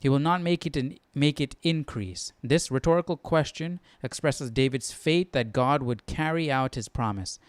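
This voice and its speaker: A man's voice: medium pitch, moderate pace, few pauses, no echo. A man hosting a Bible study, talking casually, thinking aloud with questions and reading passages out. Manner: musing aloud, asking questions. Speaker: host of a Bible study stream.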